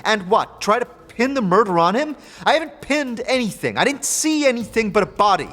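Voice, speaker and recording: A man's voice: high voice, sing-song, a little fast, a bit bright. A man voicing an aloof, secretive female magical engineer, dry and dismissive, in a fantasy audio drama. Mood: scornful. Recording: long reverb, music behind.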